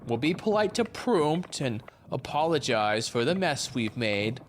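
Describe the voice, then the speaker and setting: Guy doing cocky laid-back sailor in an audio drama, very animated pitch, bit of background noise. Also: measured pace. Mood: cajoling. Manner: casual, flippant, unbothered. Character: cocky, laid-back foul-mouthed male shipmate.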